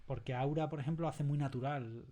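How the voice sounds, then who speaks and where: Hombre español enfático, de tono medio. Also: male voice, medium pitch, steady tone, fairly quick, warm tone. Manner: emphatic, repeats phrases. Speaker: interview guest, scriptwriter. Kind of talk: casual interview conversation.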